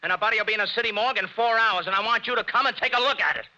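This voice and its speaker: An actor playing a cocky, wisecracking tough detective, in 1940s radio acting. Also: male voice, high voice, racing, pushed and bright, lo-fi sound. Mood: needling. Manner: cocky, needling.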